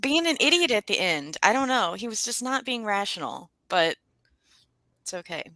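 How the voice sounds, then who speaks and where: A woman's voice: mid-range voice, big pitch swings, moderate pace, bright, forceful tone, no room sound. A woman chatting casually as a co-host in a book discussion. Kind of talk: casual chat.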